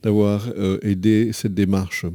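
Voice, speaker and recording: A man hosting a radio interview, asking questions in halting phrases with repeated words. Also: on the low side, level tone, warm tone, dry recording, clean studio sound.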